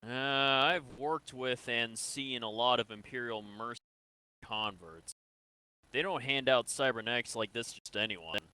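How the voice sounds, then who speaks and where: A man playing a measured military interrogator in a sci-fi game role-play, asking probing, deliberate questions. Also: mid-range voice, bright, forceful tone, clear, resonant tone, rough recording.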